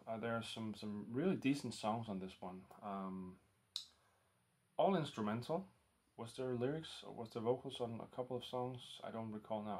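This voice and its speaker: A man talking casually to the camera at home, hesitant and full of ums and restarts. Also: medium pitch. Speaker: record collector vlogger. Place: home room lit by a lamp.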